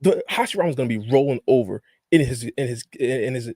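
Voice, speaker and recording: Male podcast panelist, swooping animated intonation, dry sound, exasperated objector who repeats his points. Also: mid-range voice, a little fast, no echo. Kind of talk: casual fan debate about anime.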